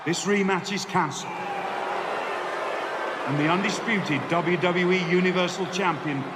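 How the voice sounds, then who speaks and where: A man playing a stiff, reluctant wrestling official in a video game, making a formal, deliberate announcement. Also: sits high. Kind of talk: scripted video game cutscene acting. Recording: loud arena crowd and noise.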